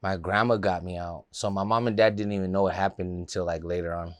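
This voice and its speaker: A man's voice: sits low, fairly even intonation, quick pace, dry recording. A man from the Los Angeles area, a guest in a casual interview, telling stories in a loose, laid-back way with plenty of fillers.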